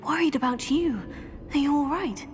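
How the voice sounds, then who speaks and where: A woman's voice: high-pitched, normal speed, slight background noise, natural voice. A woman playing an earnest, composed teenage student witch in a video game, with a British accent. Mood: concerned. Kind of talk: video game voice acting, scripted dialogue.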